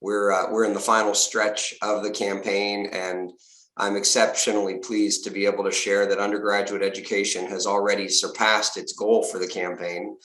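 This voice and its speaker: A slightly hoarse man, a university fundraising executive, giving upbeat prepared remarks on a video call with frequent uh fillers. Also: lowish voice, level tone, normal speed, a bit bright. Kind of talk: prepared remarks at a virtual donor event. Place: home or office on a video call. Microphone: video call mic. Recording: roomy video call audio.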